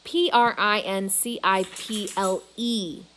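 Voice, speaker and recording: A woman voicing a weary, skeptical but soft-hearted father in a measured way, in a children's novel read aloud. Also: medium pitch, fairly even intonation, background noise, close to an everyday voice.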